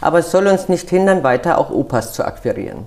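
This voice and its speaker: An older woman from Munich speaks in a notably low voice, with a Bavarian accent. She talks directly and casually as an interview guest, often pausing on fillers. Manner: direct, lively, with frequent fillers. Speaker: interview guest, activist group organizer. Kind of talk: conversational interview.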